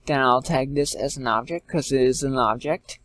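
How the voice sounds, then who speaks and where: A man giving an informal step-by-step software tutorial in a halting way, repeating and correcting himself as he goes. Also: mid-range voice, a little hiss or hum. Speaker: tutorial presenter. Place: indoors, screen-recording voice-over.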